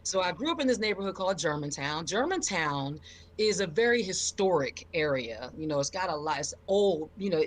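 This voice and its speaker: A middle-aged American woman from Philadelphia telling her own story on a live show in an emphatic, expressive, high-energy way. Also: slight background noise. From Philadelphia, United States.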